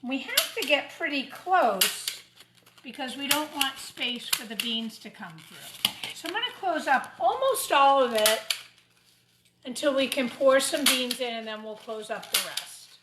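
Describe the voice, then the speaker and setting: Woman, slightly high voice, children's craft teacher, step-by-step informal narration, unhurried pace, clear background noise. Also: lively intonation. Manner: talks through each step while working. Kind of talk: informal how-to craft demonstration for young children. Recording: roomy echo, clear background noise and clatter.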